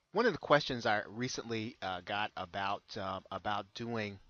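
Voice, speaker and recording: An American man giving an unscripted screen-share tutorial as a consultant, halting and often repeating his phrases. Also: on the low side, expressive, fairly bright tone. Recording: dull lo-fi close recording.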